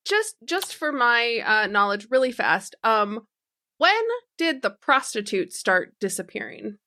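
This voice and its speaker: A woman playing a tabletop game, casually thinking aloud with halting, repeated words. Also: a little high, lively intonation, sharp tone.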